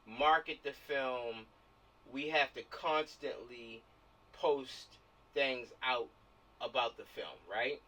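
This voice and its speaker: A man's voice: fairly high pitch, fairly bright tone. An American man leading a casual online meeting, giving directions and repeating his phrases, heard over a video call. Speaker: film production organizer leading a meeting. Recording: distant-sounding video call audio.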